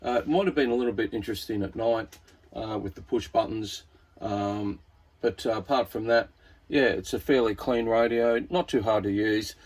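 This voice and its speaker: Low, husky Australian man, casual walkthrough. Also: normal speed, a little mellow. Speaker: radio hobbyist presenter.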